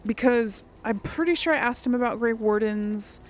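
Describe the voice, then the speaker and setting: A woman hosting a casual role-play gaming video, musing aloud haltingly and often clearing her throat. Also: fairly high pitch.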